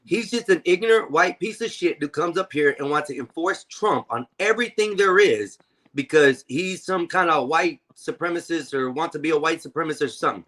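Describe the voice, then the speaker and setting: A man's voice: on the high side, fairly quick, a bit bright. Blunt, combative man in his forties. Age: 40s. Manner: blunt and confrontational, swears freely. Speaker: stream host. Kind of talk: heated live-stream panel talk.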